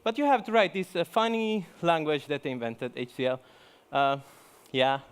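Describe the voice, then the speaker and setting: A man's voice: on the high side, lively intonation, normal speed, clean tone. A young man from Italy gives a joking, chatty conference talk, full of fillers and restarts. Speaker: conference speaker. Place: conference hall.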